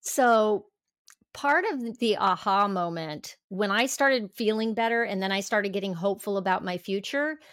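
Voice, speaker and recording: A woman's voice: mid-range voice, moderate pace, fairly bright tone, no echo, clean studio sound. A woman in her fifties speaking as a podcast guest, earnest and reflective, often restarting her sentences. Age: 50s. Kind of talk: casual interview chat.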